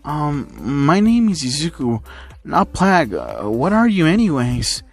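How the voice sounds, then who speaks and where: A man's voice: medium pitch, animated, moderate pace, darkish tone, faint music behind, lightly acted. A man voicing a modest, confused teenage boy student in a narrated story.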